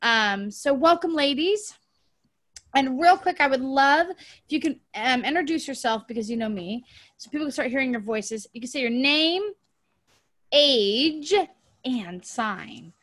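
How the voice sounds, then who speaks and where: A woman who is a dating coach, hosting a casual podcast chat in a teasing, animated way. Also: high voice, a little slow, no room sound, studio quality. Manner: teasing, animated, laughs often.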